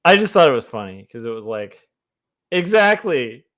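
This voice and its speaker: A man with a loud voice chatting casually to viewers on a livestream while he draws. He rambles, with lots of fillers, and talks into a mic placed close to him. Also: mid-range voice, sing-song, normal speed. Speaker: comic artist streamer. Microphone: desk mic close to the mouth.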